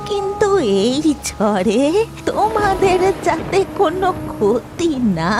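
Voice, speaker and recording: A woman voicing a calm, devout, mysterious old woman in a cartoon, speaking in a deliberate, soothing way. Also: extremely high pitch, very noisy, heavily acted. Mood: reassuring. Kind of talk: cartoon voice acting. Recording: loud background music.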